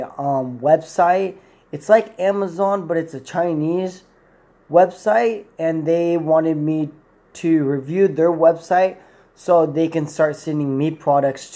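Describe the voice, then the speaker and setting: A man's voice: a little high, dark tone. A man in his twenties giving a casual, unscripted product review to camera in a small room, rambling and correcting himself as he goes. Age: 20s. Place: small plain room indoors.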